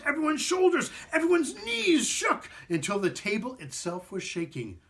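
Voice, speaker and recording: A man reading a children's story aloud in an expressive, theatrical way, sometimes repeating a word as he goes. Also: high-pitched. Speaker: performing arts director reading a story.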